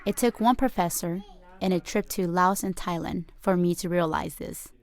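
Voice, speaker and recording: A woman in her twenties, a student filmmaker, narrating her documentary in a calm, reflective, measured way. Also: fairly low pitch. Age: 20s.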